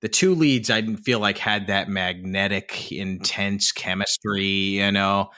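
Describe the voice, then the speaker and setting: A man's voice: on the low side, a little slow, pushed and bright. A young man co-hosting a film podcast, talking casually and thoughtfully with frequent restarts. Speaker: podcast co-host.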